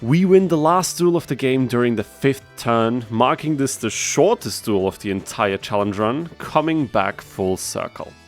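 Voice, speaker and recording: Male video host, medium pitch, scripted gaming narration over instrumental guitar backing. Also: expressive, moderate pace, hardly any pauses. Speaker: YouTube game challenge narrator and host. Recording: music behind the voice. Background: guitar instrumental music.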